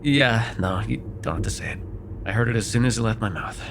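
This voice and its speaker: A man playing a sarcastic vampire with a soft spot in an ASMR roleplay, talking in a teasing, conversational way with sighs and hesitations. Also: fairly low pitch, fairly quick, background noise, close to an everyday voice. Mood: wry, indignant. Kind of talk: immersive ASMR roleplay acting.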